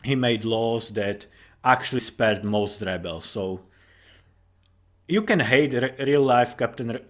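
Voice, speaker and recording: A tired man giving informal, rambling commentary as a writer on his own story, halting and correcting himself, with casual swearing. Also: mid-range voice, fairly bright tone.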